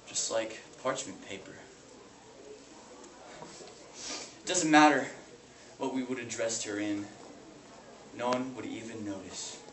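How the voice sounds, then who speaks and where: A man performing a dramatic monologue as a haunted, bitter, grieving brother, speaking in a halting, intense way. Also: mid-range voice, close to an everyday voice. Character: grief-stricken brother, haunted and bitter over family abuse. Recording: echoey, noisy room from far off.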